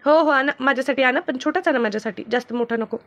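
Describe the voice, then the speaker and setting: Lady doing a high cartoon village gal, sensible and friendly, rural maharashtra accent, kinda muffled lo-fi audio. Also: quick pace, fairly bright tone. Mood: practical. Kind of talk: animated cartoon comedy dialogue.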